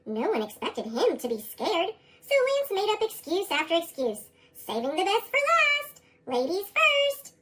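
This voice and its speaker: An American narrator reading children's stories expressively, through a sped-up chipmunk voice effect. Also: very high voice. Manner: animated, expressive. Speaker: storybook narrator. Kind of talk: scripted children's read-aloud narration.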